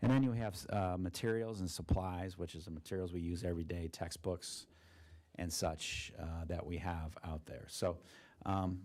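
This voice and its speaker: A man, a school district treasurer, presenting a budget at a board meeting in a monotone with many fillers and restarts, with an Ohio accent. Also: low voice, moderate pace, no echo. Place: meeting room.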